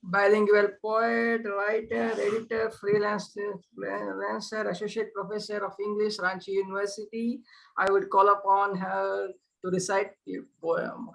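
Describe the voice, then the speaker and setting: A woman's voice: medium pitch, level tone, unhurried, creaky, fairly bright tone, poor audio. A woman with an Indian accent speaks as the host of an online event. She is unscripted, effusive and very deferential. She tends to repeat her phrases as she introduces and thanks guests.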